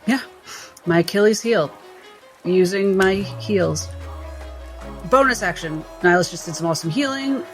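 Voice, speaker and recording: A woman's voice: medium pitch, normal speed, long pauses, soft background music. A woman playing a tabletop game, narrating her character's moves.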